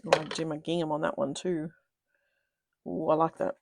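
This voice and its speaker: A woman's voice: low voice, level tone, moderate pace, gravelly, very noisy. A woman hosting a live card-making stream, chatting and thinking aloud as she works, a bit sniffly from a cold. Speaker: craft demonstrator, live stream host. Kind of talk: casual live crafting chat.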